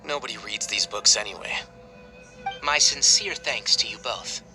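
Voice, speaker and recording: Man voicing a dry bored scholar, kinda low voice, wordy and detached. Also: moderate pace, over music, natural voice. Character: aloof dry-witted scholar, young man. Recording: game voice line over score.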